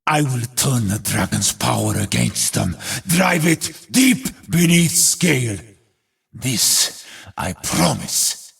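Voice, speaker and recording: An actor playing a grave, proud, battle-hardened warrior in a video game, solemn and declamatory, with a gravelly, husky voice. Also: male voice, mid-range voice, expressive, slow, a bit bright, far from the mic, exaggerated voice. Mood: proud vow. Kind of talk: epic video game voice acting.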